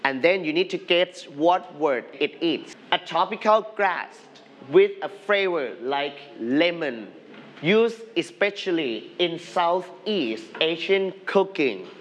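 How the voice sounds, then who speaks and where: A male English teacher in a studio classroom, slowly leading a televised lesson and having students repeat words after him. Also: a little high, expressive, highs cut off.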